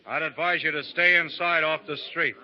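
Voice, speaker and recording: An actor playing a calm, resolute frontier gunfighter with a cool, dry, clipped delivery in a 1930s radio drama. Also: male voice, on the high side, normal speed, close to an everyday voice. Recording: dull 1930s radio broadcast.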